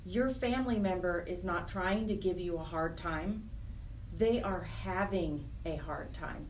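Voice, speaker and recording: A woman's voice: lowish voice, measured pace. A middle-aged woman speaking conversationally as an interview guest, earnest and often searching for her words. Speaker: interview guest, program director of a nonprofit. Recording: roomy, distant mic, faint noise.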